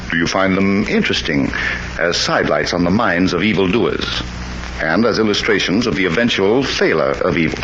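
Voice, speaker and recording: Vintage radio host: a man with a lowish, expressive, earnest voice, dull hissy broadcast sound. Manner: earnest, courteous appeal to listeners. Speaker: program host and narrator. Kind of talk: 1940s radio announcing.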